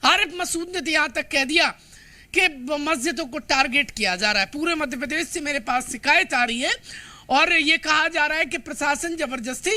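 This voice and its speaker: An Indian man reports live for TV news in a very high, sharp and forceful voice. He comments emphatically as he goes, giving opinions alongside the facts. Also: normal speed.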